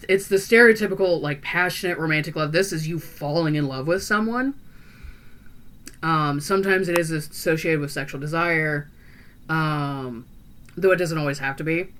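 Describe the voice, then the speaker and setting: A woman's voice: low voice, a bit bright. A woman playing a chatty, earnest young female vampire who talks in a rambling, hesitant way full of fillers and restarts. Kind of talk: in-character roleplay monologue.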